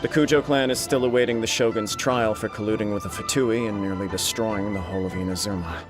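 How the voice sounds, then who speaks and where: A man plays a young noble clan head from a video game, burdened and earnest. His voice is on the low side and formally measured, with clear music underneath. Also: close to an everyday voice. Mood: grave. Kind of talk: English video game voice acting.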